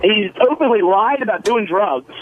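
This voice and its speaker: A man's voice: high-pitched, lively intonation, moderate pace, slight background noise. A man calling in to a radio show, arguing bluntly for his opinion over a narrow telephone line. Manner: blunt, argumentative. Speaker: phone-in caller.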